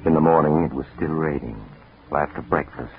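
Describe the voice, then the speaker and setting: An actor playing an ominous storyteller, narrating a 1940s radio mystery on a tinny old recording. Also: male voice, extremely low pitch, fairly even intonation, brisk, faint music behind. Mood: measured, ominous.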